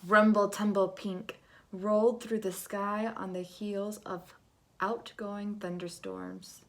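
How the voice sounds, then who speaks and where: A woman reading a picture book aloud to children in an expressive, dramatic way, moving between hush and big swells. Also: medium pitch, slow pace. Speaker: storybook reader.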